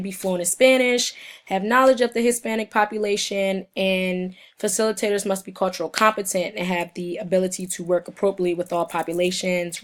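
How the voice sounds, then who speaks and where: A young woman with a cold, hoarse and sniffling, giving a recorded class presentation from her notes, halting in places. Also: fairly low pitch. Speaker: student presenter.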